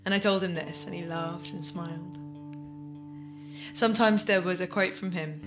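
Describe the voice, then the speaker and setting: An actress playing a wistful, reflective daughter in an audio drama monologue, telling her memories haltingly. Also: fairly low pitch, fairly even intonation, over music, close to an everyday voice. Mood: fond, nostalgic. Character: wistful daughter recalling her adored theatre-critic father.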